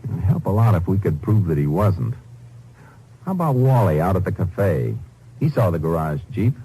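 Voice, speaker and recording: Deep, mellow Texas Ranger. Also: male voice, big pitch swings, moderate pace, husky, heavily acted. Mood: frustrated, dry. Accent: American. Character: shrewd, determined, laconic Texas Ranger detective, a man. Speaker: lead character and narrator. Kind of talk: 1950s radio drama acting. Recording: noisy old 1950s radio recording.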